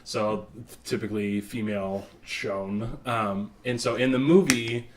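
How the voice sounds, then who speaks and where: A man co-hosting a casual podcast chat, animated and laughing often, with lots of fillers. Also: lowish voice, measured pace, crowd noise. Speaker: podcast co-host. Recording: roomy, distant mic.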